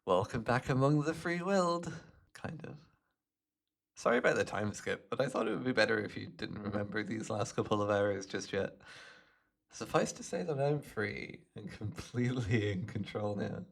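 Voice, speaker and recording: Guy doing a gleeful hypnotist villain for asmr roleplay, super animated pitch, fry in the voice, smug and teasing like hes talking right to his puppet. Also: moderate pace. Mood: smug, gloating. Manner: gloating, teasing, theatrical, swooping between murmurs and exclamations. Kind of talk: ASMR roleplay, addressing the listener.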